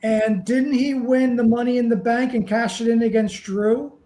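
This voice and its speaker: A man's voice: very high voice, fairly even intonation, normal speed, mellow. A man of about seventy co-hosting a casual chat podcast, wry and dry in his delivery. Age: 60s. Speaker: podcast co-host. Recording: roomy echo.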